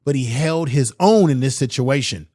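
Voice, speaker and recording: A man's voice: medium pitch, animated, normal speed, darkish tone, dry recording, studio quality. A man hosting political commentary, reacting bluntly and fierily to clips he plays, with slangy emphatic phrasing.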